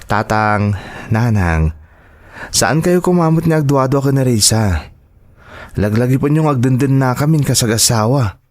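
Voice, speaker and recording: A man's voice: medium pitch, lively intonation, measured pace, pauses often, darkish tone, lightly acted. A man with an Ilocano accent acting a trusting, devoted young son and partner in an audio drama, speaking earnestly and reassuringly.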